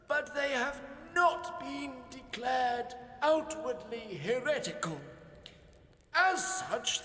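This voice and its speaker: An ancient rambling bishop. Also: male voice, extremely high pitch, sharp tone, slight background noise, exaggerated voice. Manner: slow, quavering, rambling delivery. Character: ancient doddering male bishop, long-winded. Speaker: voice actor. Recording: echoey reverberant room.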